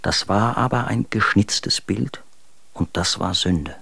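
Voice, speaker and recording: Bitter young poet-husband, deep register, dramatic letter reading for radio drama, dry studio. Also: male voice, slow pace, creaky, pushed and bright, breathy edge, dry recording, heavily acted. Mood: anguished. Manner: literary, confessional, dramatic.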